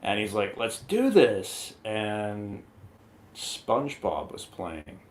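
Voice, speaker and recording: A man's voice: lowish voice, sing-song, takes it slowly. A man on a movie review panel chatting casually and riffing jokingly on pop culture. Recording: clear background noise.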